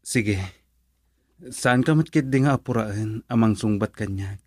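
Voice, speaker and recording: A man's voice: on the low side, measured pace, a little mellow, dry recording. Earnest lovestruck young Filipino man, close dry sound. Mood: pleading, worried. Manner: hesitant, pleading. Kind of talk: romantic radio drama acting.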